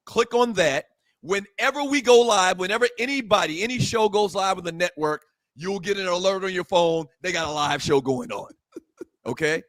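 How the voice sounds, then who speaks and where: Man, high pitched, sports show co-host, pleading with viewers, dry close mic. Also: normal speed, fairly bright tone, dry recording. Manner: pleading, pushes viewers to subscribe and share. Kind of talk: casual live show chat.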